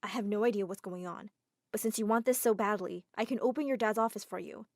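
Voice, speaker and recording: A woman's voice: medium pitch, fast talker, no room sound, studio quality, close to an everyday voice. A woman voicing a polite young male assistant who speaks deferentially and keeps saying ma'am.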